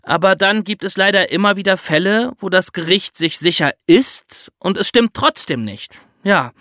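A man's voice: high voice, expressive, moderate pace, sharp tone, dry recording, studio quality. A man hosting a podcast, explaining things to his co-hosts in a conversational way with examples. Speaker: podcast host. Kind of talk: conversational true-crime explainer.

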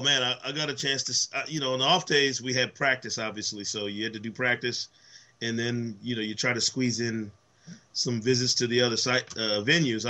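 A man co-hosting a sports podcast, a little hoarse from travel, telling stories enthusiastically in casual chat with lots of fillers. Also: medium pitch. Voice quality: slightly hoarse. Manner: animated, enthusiastic storyteller with many fillers. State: voice worn from travel. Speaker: podcast co-host.

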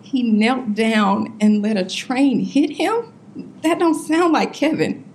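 Grieving young woman in an audio drama, blunt and defiant, highish voice that jumps around. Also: expressive, slow, a little hiss or hum. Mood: defiant, upset. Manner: blunt, colloquial, challenging. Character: grieving, defiant young woman questioned by police about boyfriend. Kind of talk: full-cast audio drama acting.

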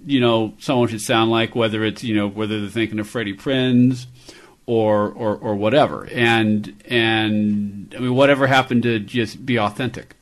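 A man hosting a radio talk show, speaking in halting bursts and repeating words as he thinks. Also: lowish voice, fairly even intonation. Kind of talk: casual chat, also reading an ad.

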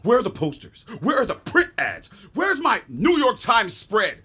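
An actor playing an irascible Broadway producer in an audio comedy, blunt and scornful. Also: male voice, high voice, huge pitch swings, unhurried, bright, forceful tone, husky, full character voice. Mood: outraged. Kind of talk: audio comedy drama acting.

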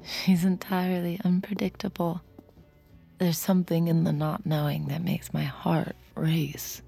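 An actress playing a tipsy, smitten woman on a first date in an intimate audio drama, flirty and nervous, with a breathy, creaky voice. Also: lowish voice, flat delivery, measured pace, dark tone. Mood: smitten, reflective. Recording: music behind the voice. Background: music.

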